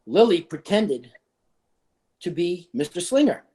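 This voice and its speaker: A man's voice: high-pitched, very animated, a little slow, mellow. A man reading a children's storybook aloud as a school staff reader.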